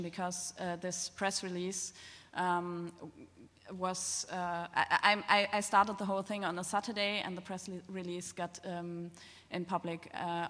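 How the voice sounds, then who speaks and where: A woman speaking unscripted as a panelist in a public discussion, halting and often searching for words. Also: fairly low pitch, fairly bright tone. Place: large reverberant hall. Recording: echoey hall with long reverb.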